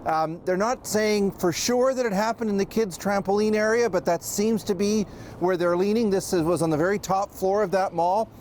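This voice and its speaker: A man giving an unscripted live news report as a correspondent, halting and often restarting his phrases. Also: high-pitched, a little mellow, a little hiss or hum. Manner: halting, hesitant, frequent ums and restarts.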